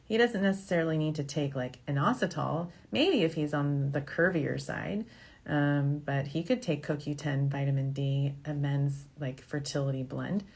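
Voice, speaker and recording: Female medical show host, low register, frank and reassuring, chatty advice to viewers. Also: moderate pace, creaky, a little mellow. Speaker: fertility doctor hosting a question-and-answer show. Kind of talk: informal advice talk, answering viewer questions.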